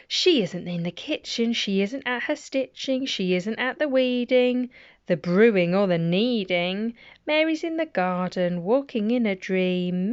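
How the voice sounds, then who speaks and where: Slow, smooth-voiced mum reading. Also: female voice, mid-range voice, dry recording, lo-fi sound. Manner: gentle, chatty asides between rhymes. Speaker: parent reading bedtime rhymes. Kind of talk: reading nursery rhymes aloud.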